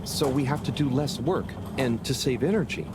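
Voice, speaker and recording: A man's voice: mid-range voice, animated, heavy background noise, close to an everyday voice. An actor playing an earnest, naive and hesitant working man in a video game. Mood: explaining. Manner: halting, earnest.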